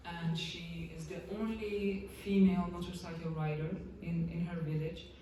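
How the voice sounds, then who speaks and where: A man from Iran talks softly and haltingly on a festival panel, pausing often as he searches for words. His voice is mellow and fairly flat in pitch. He sounds far from the mic in a very echoey room with faint noise. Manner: soft-spoken, halting, many pauses and fillers. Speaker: documentary director on a panel. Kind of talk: panel talk.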